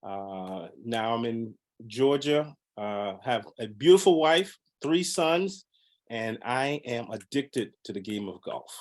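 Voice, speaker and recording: A man's voice: mid-range voice, lively intonation, takes it slowly, darkish tone. Rambling, upbeat man from the Bahamas. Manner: rambling, many fillers and restarts, upbeat. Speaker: podcast guest, realtor. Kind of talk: casual interview talk.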